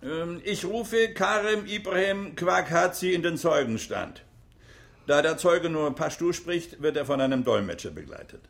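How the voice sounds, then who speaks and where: A sceptical courtroom prosecutor in a radio play. His fairly high voice swings widely in pitch and has a heavy creak. He presses with pointed, insistent phrasing. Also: animated, a little slow. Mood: formal. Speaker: actor in radio drama. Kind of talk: courtroom radio drama acting.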